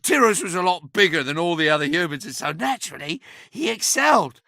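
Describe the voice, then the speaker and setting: An ancient, chatty enchanted object from a fantasy video game, high and wildly swooping in pitch. The delivery is pompous, sardonic and theatrical, with a gravelly crackle around the edges. It sounds like a boastful, bawdy little adviser who loves to talk. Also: male voice, animated, fairly quick, pushed and bright, no echo, clean studio sound, heavily acted. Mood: wry storytelling. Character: impish, talkative, bawdy ancient sentient staff, boastful adviser.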